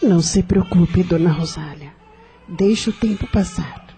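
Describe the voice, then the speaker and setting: Woman doing kindly midwife, unhurried and reassuring, soft dark tone, bit of music, radio play. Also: on the low side, natural voice. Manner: unhurried, comforting.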